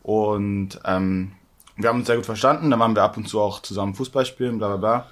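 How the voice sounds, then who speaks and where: A man's voice: on the low side, steady tone. A young man from Berlin, a podcast guest, telling stories in a loose, slangy way while sharing one microphone with the host. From Berlin, Germany. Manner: quick, loose storytelling with slangy asides. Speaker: podcast guest, former youth footballer. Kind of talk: casual chat, storytelling. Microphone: sharing one mic with the host.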